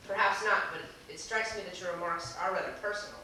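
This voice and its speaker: Man acting a flustered gentleman, echoey and distant. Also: high voice, fairly even intonation, close to an everyday voice. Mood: polite, uneasy. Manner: hesitant, halting, formal old-fashioned phrasing. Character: flustered, polite, anxious gentleman waiting for a masked date. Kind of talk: amateur stage acting in a comic one-act play. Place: small theater stage, recorded from the audience. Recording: echoey theater, distant camera mic, hissy noise.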